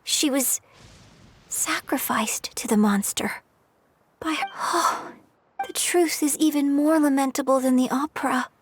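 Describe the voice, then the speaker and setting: A courteous, refined young woman of the opera stage, from a video game. Her high voice is polite, articulate and thoughtful. A little noise sits in the background. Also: unhurried. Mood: pensive, saddened.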